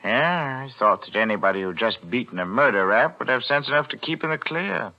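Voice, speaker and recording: A man's voice: mid-range voice, animated, fairly quick, close to an everyday voice. An American actor playing a shrewd, grasping pawnbroker in a 1940s radio thriller, hemming and reasoning slyly as he drives a bargain. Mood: wary, wry. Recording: muffled old 1940s radio recording.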